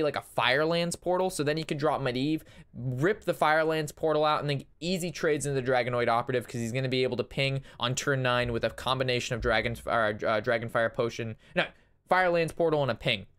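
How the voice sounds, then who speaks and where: A young man casting and reviewing a card game match, excitable and analytical, his voice strained from talking a long time. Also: on the high side. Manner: excitable, analytical, talks through plays. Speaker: Hearthstone caster reviewing a match. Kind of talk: casual game commentary.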